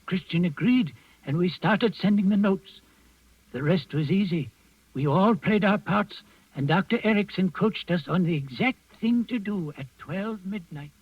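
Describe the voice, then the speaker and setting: A man's voice: high voice, animated, normal speed, breathy edge, dry recording, exaggerated voice. An actor playing a knowing old resistance man, confiding a secret in a 1940s radio drama. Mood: matter-of-fact. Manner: confiding storyteller. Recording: dull vintage radio recording with music.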